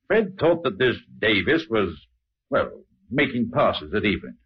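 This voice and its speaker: A man's voice: on the low side, sing-song, unhurried, slightly rough, full character voice. An actor playing a hesitant, deferential man who insinuates things to police in an old radio drama. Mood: hesitant, insinuating. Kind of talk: old-time radio acting. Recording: muffled old radio recording.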